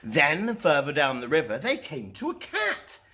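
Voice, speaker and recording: A man hosting storytime, reading aloud to children in an animated storytelling style. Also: on the high side, a little slow.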